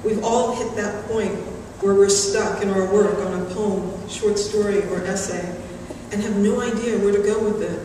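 A middle-aged woman delivering a prepared graduation speech in a measured, earnest way at a podium microphone in a large hall. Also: mid-range voice, steady tone, dark tone. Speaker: graduating student class speaker. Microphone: podium microphone, recorded from the audience. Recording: very echoey hall, distant, background noise.

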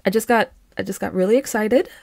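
A woman playing an affectionate, playful, excitable young girlfriend in an intimate roleplay audio, with a bubbly, teasing and pleading manner. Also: mid-range voice, fast, creaky, no echo, clean studio sound.

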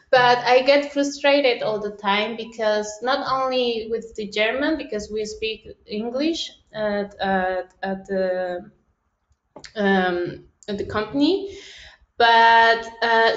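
A woman's voice: a little high, takes it slowly. Hesitant Costa Rican woman on a video call. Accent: Costa Rican Spanish. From Costa Rica. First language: Spanish. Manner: hesitant, many restarts and fillers, laughs often. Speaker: participant in online session. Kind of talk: personal sharing in a video call. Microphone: laptop video call. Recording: roomy, dull video-call audio.

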